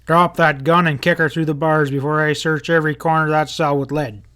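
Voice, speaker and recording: A man's voice: fairly high pitch, flat delivery, a little fast, bright, forceful tone. A man voicing a bold cowboy lawman in a western story, snapping out commands. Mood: commanding.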